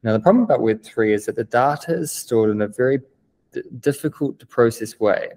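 A man's voice: medium pitch, brisk, darkish tone. A young man with a New Zealand accent, explaining things eagerly on a remote video call, with many "um" fillers. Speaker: guest, business developer. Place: plain-walled room indoors.

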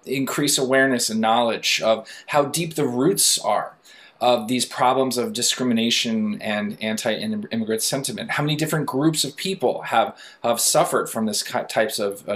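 An American man who teaches, speaking haltingly in a panel discussion on a video call. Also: medium pitch, fairly bright tone.

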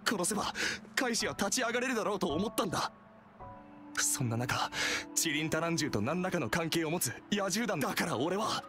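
An actor playing a timid, remorseful young man in a game cutscene, speaking softly and blaming himself. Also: sits high, lively intonation, a little fast, over music. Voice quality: soft, self-reproaching. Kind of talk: Japanese game voice acting.

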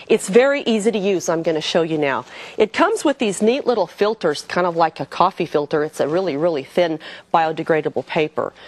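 A middle-aged woman hosting a cooking show, explaining a demonstration in her kitchen to the viewer in an upbeat way. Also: mid-range voice. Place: home-style kitchen studio.

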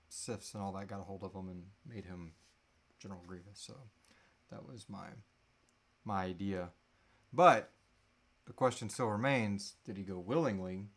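A man in his thirties with a fairly low voice hosts a relaxed live Q&A. He speaks in a halting way, letting thoughts trail off before picking them up again. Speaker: host answering audience questions. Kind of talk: casual live Q&A chat. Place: small indoor room.

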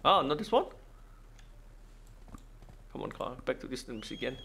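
A man giving casual game walkthrough commentary, thinking aloud in halting, non-native English. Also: medium pitch, big pitch swings, gravelly, sharp tone, slight background noise. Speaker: gaming video host.

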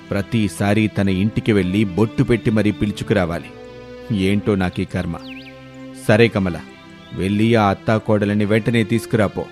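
A man plays a proud, stern village headman in a moral story cartoon. His voice is low and he speaks with heavy, emphatic authority. Music plays clearly underneath. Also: darkish tone, natural voice. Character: stern, proud middle-aged male village chief.

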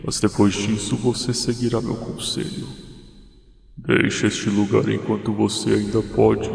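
Giant jellyfish game creature male actor: solemn, eerie, Brazilian Portuguese, low and very creaky, echoing with faint noise. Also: little pitch movement, unhurried, a little mellow. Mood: grave warning. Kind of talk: fan-dubbed horror game monologue. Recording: reverberant hall, faint noise.

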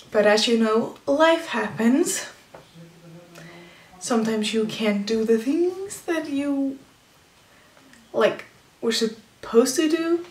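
A woman of twenty chatting casually to camera from a small indoor room, talking with lots of "like" and "um" fillers. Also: fairly high pitch. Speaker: vlogger. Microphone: camera framed head and shoulders. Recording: echoey room.

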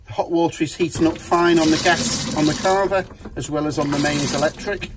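A man with a slightly high voice talks in upbeat, chatty sales patter as he shows off a camper van. He films on a handheld phone in the van's cramped interior. Steady background noise runs under his voice. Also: a little fast. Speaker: camper van seller giving a walkthrough. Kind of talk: handheld walkaround video tour. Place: inside a small camper van. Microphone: phone camera held in hand.

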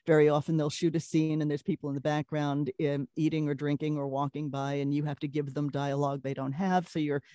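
A middle-aged woman with a Brooklyn accent and a deeper voice, telling lively anecdotes in a casual interview and laughing often. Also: a little fast, hardly any pauses, dry recording. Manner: animated storyteller, laughs often. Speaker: voice actress, guest.

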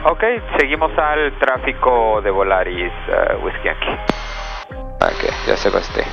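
Pilot on a headset mic. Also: male voice, on the low side, animated, a little slow, creaky, lo-fi sound, music in the background. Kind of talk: clipped radio calls and cockpit chat. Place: small jet cockpit. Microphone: aviation headset boom mic.

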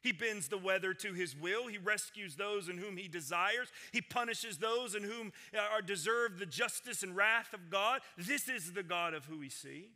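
A man in his forties, a senior pastor, preaching a sermon from a church stage, swinging between loud proclamation and hushed intensity. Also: high-pitched, moderate pace, few pauses. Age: 40s. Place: large church stage with piano and guitars. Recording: sanctuary with long reverb.

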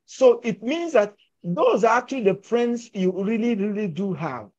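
A man's voice: sits high, lively intonation, moderate pace, darkish tone. An older man telling a story emphatically and persuasively over a video call. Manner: emphatic, persuasive, gesturing with his hands. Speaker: filmmaker and actor discussing his film. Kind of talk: conversational storytelling. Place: indoors at home, virtual backdrop. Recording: muffled video call audio.

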